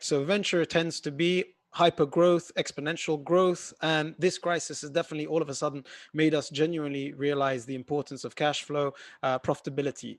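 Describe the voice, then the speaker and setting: A man hosts the interview and asks measured, carefully framed questions. His voice sits a little high for a man. The sound is close and dry, with no room echo. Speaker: interview host.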